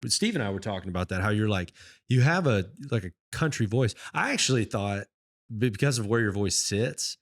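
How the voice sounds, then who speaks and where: A podcast host with a low-pitched man's voice who keeps up a talkative, slangy flow of chat. He sounds keen and relaxed, like he is talking shop with a friend. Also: fairly quick. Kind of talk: casual chat.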